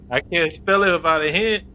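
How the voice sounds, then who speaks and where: A man's voice: a little high, measured pace, bright, forceful tone, clear, resonant tone. A man co-hosting a podcast in casual chat, guessing hesitantly and joking around. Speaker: podcast co-host.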